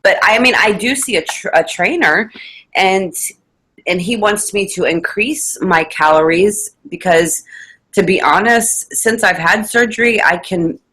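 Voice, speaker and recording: A woman with a bright but husky voice, chatting casually as a host. She talks in a chatty, exasperated way. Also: medium pitch, unhurried. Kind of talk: casual chat.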